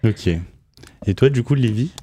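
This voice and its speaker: A man interviewing students in a relaxed way, prompting them with questions. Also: mid-range voice, moderate pace, breathy edge, no echo. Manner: relaxed, prompting with short backchannels.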